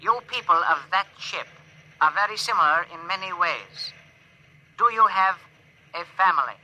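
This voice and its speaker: Stiff formal alien officer, bright edgy voice, kinda high. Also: male voice, lively intonation, lo-fi sound, exaggerated voice. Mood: measured. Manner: stiff, formal, halting phrasing. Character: stilted polite male alien ship officer. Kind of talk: 1950s radio drama acting.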